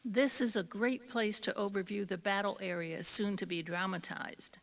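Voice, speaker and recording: A woman's voice: medium pitch, steady tone, moderate pace, vocal fry, far from the mic. A woman reading scripted instructions as an audio tour guide. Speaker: tour guide giving directions.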